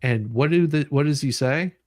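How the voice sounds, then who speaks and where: Guy hosting a stream, reads stuff out then explains it, emphatic, bit sweary, normal pitch, dry sound. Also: moderate pace, a little mellow, no room sound. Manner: emphatic, repeats and explains points. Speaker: livestream host. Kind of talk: casual live commentary while reading legal documents aloud.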